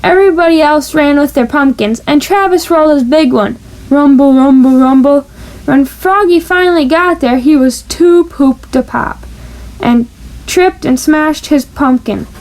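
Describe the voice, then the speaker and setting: High-voiced woman reading a children's storybook. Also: unhurried, a little mellow. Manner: playful, stressing sound-effect words. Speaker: storybook reader. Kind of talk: animated children's storybook read-aloud.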